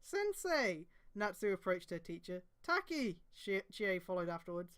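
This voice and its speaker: A woman's voice: lowish voice, level tone, fairly quick, smooth. A woman reading amateur fan fiction aloud on a stream, breaking off for casual, exasperated asides with some swearing.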